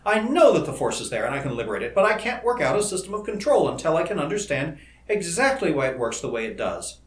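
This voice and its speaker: A man's voice: on the high side, wildly swooping pitch, fast, fairly bright tone, voice pushed up. A man voicing an ardent, driven young male scientist in a storybook, speaking with emphatic, effusive intensity. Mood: agitated, frustrated. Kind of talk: old-fashioned storybook dialogue. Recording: roomy, echoing indoor recording.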